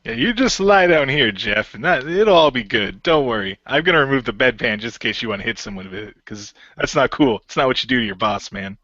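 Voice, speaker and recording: A man's voice: mid-range voice, lively intonation, brisk, hardly any pauses, pushed and bright, no echo, highs cut off. A man voicing a goofy, easygoing shapeshifting hero in a hesitant, colloquial way for an amateur radio play. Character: David, laid-back goofy shapeshifting male hero, often a genie.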